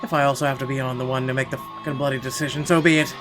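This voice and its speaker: A man's voice: mid-range voice, little pitch movement, rapid-fire. A man playing through a visual novel, reading its narration aloud expressively and dropping casual, sweary remarks. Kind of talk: gaming playthrough, dramatic read-aloud narration. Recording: music playing behind the voice. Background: game music.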